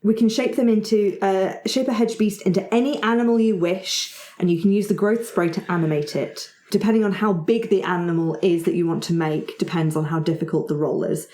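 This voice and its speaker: A woman's voice: medium pitch, steady tone, fairly quick, hardly any pauses, warm tone, roomy sound. A woman working as game master, narrating a tabletop roleplaying game and encouraging the players' ideas.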